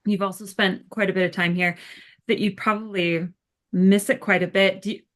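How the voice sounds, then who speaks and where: A woman's voice: medium pitch, steady tone, moderate pace, a bit bright. A woman co-hosting a podcast, asking rambling questions in an enthusiastic, chatty way. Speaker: podcast co-host. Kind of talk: casual interview chat.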